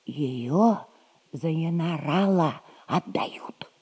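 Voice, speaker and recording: A woman's voice: low voice, drawn-out words, darkish tone, husky, exaggerated voice. An actress playing a folksy, knowing servant woman, a bossy and wheedling go-between in a theatrical 1960s audio comedy. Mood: matter-of-fact, teasing.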